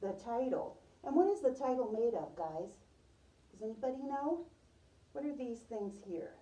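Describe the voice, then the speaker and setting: A woman teacher reading a picture book aloud to young children, pausing to ask questions and repeating their answers. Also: fairly high pitch, expressive, dark tone. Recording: roomy, distant microphone, muffled top end.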